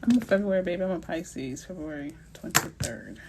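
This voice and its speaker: Middle-aged woman, New Orleans accent, chatty live-stream host, relaxed slang, faint background hiss, casual phone mic. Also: on the low side, brisk, creaky. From New Orleans, Louisiana. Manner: chatty and playful, with slang and asides. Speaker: realtor hosting a live Q&A. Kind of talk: casual live-stream chat answering viewers.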